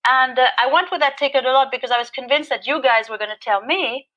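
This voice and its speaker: Loud, bright German woman telling her story. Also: high-pitched, fast, dull audio. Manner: animated, laughing, self-mocking. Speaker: guest sharing recovery story. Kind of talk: personal storytelling interview.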